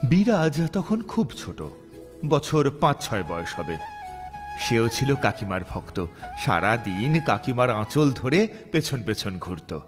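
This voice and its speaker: A male storyteller narrates with a mid-range voice and very lively intonation. His delivery is scripted, dramatic and suspenseful, set over clear background music. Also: medium pitch, takes it slowly. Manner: expressive, suspenseful.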